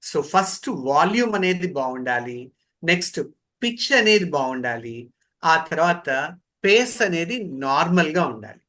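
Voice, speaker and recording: Bright-voiced man leading a training session. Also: fairly high pitch, very animated, moderate pace. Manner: didactic, poses questions and repeats key phrases. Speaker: voice modulation trainer. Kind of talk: interactive training lecture.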